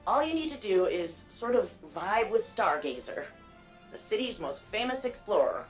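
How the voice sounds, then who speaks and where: A woman's voice: medium pitch, big pitch swings, faint music behind, lightly acted. A woman playing a playful, nerdy young archivist. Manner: quick, playful, chatty. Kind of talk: video game dialogue and narration.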